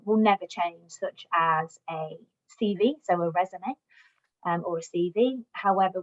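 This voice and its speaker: A woman giving an encouraging job search presentation to migrants, speaking in a friendly advisory way. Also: medium pitch. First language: English, also Welsh. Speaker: recruitment platform customer success manager giving a job search talk. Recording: dull lo-fi recording.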